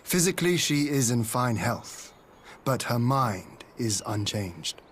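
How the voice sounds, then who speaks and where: An actor playing a dignified young lord in a video game, speaking formally and courteously. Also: male voice, medium pitch, animated, slow, slight background noise. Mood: grave. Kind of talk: video game cutscene acting.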